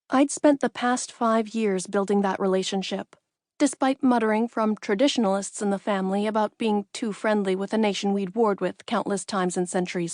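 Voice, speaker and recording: A woman's voice: medium pitch, darkish tone, no echo, clean studio sound. A woman reading a first-person fantasy audiobook as a scripted narrator.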